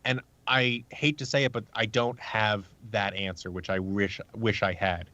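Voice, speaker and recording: Guy w lowish voice doing earnest casual advice n anecdotes, acts out quotes, bit lo-fi. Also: fairly even intonation, a little slow, sharp tone, no room sound. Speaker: podcast guest, actor and producer. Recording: dull lo-fi audio.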